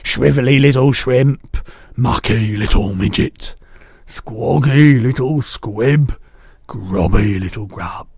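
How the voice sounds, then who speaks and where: A man reading aloud and voicing a pack of brutish male giants hurling slow, loud insults. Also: mid-range voice, vocal fry, breathy edge, no room sound, studio quality, exaggerated voice. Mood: jeering.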